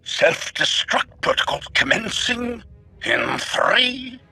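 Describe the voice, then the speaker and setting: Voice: medium pitch, very animated, slow, gravelly, bright, forceful tone, breathy edge, faint music behind, full character voice. An actor voicing a panicky, murderous assassin droid in a video game, announcing statements in clipped robotic phrasing. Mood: alarmed, menacing.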